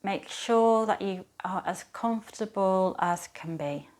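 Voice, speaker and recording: A woman speaking as a yoga teacher, guiding a relaxation practice in a soothing, gentle, unhurried manner with pauses. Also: medium pitch. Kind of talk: guided relaxation, yoga nidra instruction.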